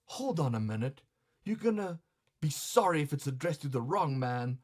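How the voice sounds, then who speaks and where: A man's voice: fairly high pitch, very animated, moderate pace. Man acting a grumbling, hot-tempered male customer. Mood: bossy, suspicious. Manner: indignant, sarcastic, colloquial bluster. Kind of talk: dramatized comic storytelling.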